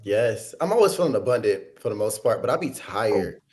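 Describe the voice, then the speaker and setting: A man co-hosting a podcast, talking in an animated, sassy, slangy way. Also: fairly low pitch, normal speed. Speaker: podcast co-host. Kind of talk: casual chat.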